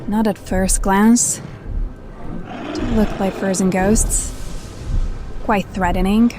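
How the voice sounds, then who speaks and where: A woman's voice: mid-range voice, fairly even intonation, slow, music in the background, natural voice. A woman playing a wary adventurer sizing up threats in an audio drama.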